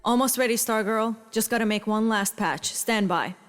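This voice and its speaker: A woman playing a brisk, wry, geeky technician in a comedic audio drama. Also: fairly high pitch, faint music behind, natural voice.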